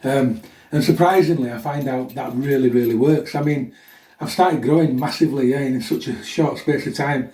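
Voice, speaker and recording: A man's voice: medium pitch, normal speed, warm tone. A middle-aged man chatting casually and bluntly to viewers as a fitness vlogger, a little chesty from a recent infection and often tagging sentences with "yeah?". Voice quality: slightly chesty. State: getting over a chest infection. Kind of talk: casual chat to viewers introducing a workout. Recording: echoey room, mic at a distance.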